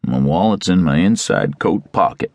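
A man's voice: sits low, expressive, moderate pace, gravelly, dry recording, full character voice. A man voicing a meek, defeated sheep herder in a Western story, numb and submissive. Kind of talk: Western audiobook character.

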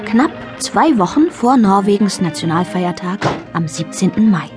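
A woman's voice: mid-range voice, expressive, normal speed, music in the background. A woman narrating a scripted radio play for children as its storyteller.

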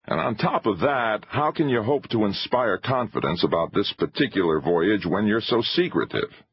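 Man playing a wary, husky Broadway theatrical agent. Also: lowish voice, lively intonation, moderate pace, lo-fi sound, voice pushed up, full character voice. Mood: reluctant, wary. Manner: grunting, reluctant, reasoning. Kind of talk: dramatised audiobook dialogue.

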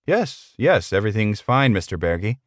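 A man's voice: fairly low pitch, sing-song, moderate pace, no echo. Male narrator as meek male employee, earnest, deferential, hesitant, dry recording, audiobook character. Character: meek, earnest, bewildered male office worker.

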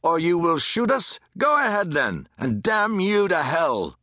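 Man voicing high-pitched, jeering street rioter. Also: sing-song, a little slow, no room sound, clean studio sound, exaggerated voice. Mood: defiant taunting. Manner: taunting, shouted. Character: jeering, defiant colonial street boys and rioters.

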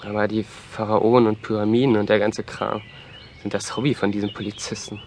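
An actor playing a gruff, defensive, hot-tempered young man in an audio drama. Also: fairly low pitch, little pitch movement, fairly quick, a little mellow, slight background noise, lightly acted. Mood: bitter, confiding. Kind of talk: German audio drama acting.

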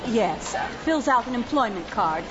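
A high-voiced, practical woman, acted in an audio play, brisk and a little weary. Her pitch moves a lot as she manages those around her. The recording is dull with faint noise. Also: animated, over music, lightly acted. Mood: brisk, firm. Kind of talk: full-cast audio drama acting. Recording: muffled, slightly noisy recording.

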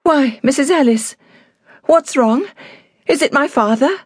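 A woman voicing an anxious, dutiful squire's daughter in an audiobook, brisk, worried and well-spoken. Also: mid-range voice, animated, dry recording, studio quality, voice pushed up, lightly acted. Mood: alarmed. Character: anxious, dutiful young gentlewoman, squire's daughter, taking charge.

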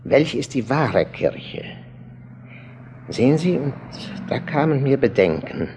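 Earnest sceptic, gravelly creak, very lively intonation, deliberate, emphatic, radio play, faint noise behind. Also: male voice, medium pitch. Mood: earnest, sceptical. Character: earnest male passer-by, sceptical seeker outside the church. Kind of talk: German radio play dialogue.

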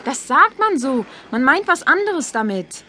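A woman's voice: high voice, big pitch swings, a little slow, bright, forceful tone, a little hiss or hum, heavily acted. A woman voicing a young male donkey in a children's audio drama, patiently correcting and explaining. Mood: correcting, teasing. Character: bright young male donkey, patient know-better friend.

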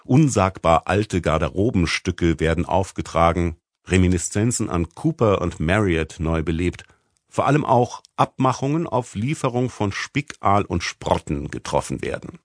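Male audiobook narrator, low voice, wry and articulate, dry close sound. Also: animated, normal speed, no room sound. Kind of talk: scripted literary narration.

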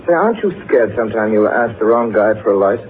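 Actor as low-voiced aloof gentleman. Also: lively intonation, fast, natural voice. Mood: aloof, apologetic. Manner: laconic, reserved, polite. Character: aloof, dry, cynical gentleman horse-lover. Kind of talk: 1930s radio acting. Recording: narrow, faintly noisy 1930s radio recording.

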